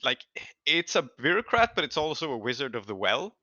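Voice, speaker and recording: Bright-voiced man as game master, dry recording. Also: medium pitch, big pitch swings, a little fast, no room sound, lo-fi sound. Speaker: game master of a tabletop role-playing show. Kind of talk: improvised game narration and rules talk.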